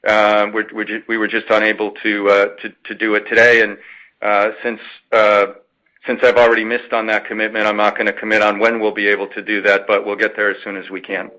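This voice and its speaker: A man, a company finance executive answering an analyst in a measured, halting way with frequent pauses and repeats. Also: lowish voice, completely flat delivery, sharp tone, rough recording. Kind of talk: answering an analyst's question, semi-formal corporate talk.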